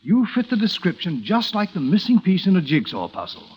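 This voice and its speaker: An actor playing a precise, politely menacing literary scholar in a 1940s radio drama. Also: male voice, sits high, huge pitch swings, brisk, slightly rough, heavily acted. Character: scholarly, quietly menacing male blackmailer. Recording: dull, lo-fi old radio sound.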